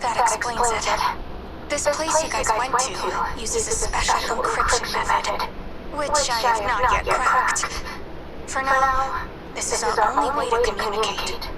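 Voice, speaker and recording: A woman's voice: fairly high pitch, lively intonation, unhurried, over a noisy crowd, poor audio. A woman playing a composed, efficient hacker in a video game, precise and formal, with game ambience behind her. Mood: calm, businesslike. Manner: precise, matter-of-fact, formal. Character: composed, efficient female hacker guiding her teammates remotely.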